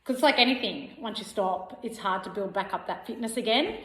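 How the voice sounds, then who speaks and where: A woman in her forties vlogging casually about running, chatty and unhurried with frequent ums. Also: medium pitch. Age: 40s. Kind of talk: casual vlog to camera. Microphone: camera mic without a clip-on mic. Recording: roomy echoey recording.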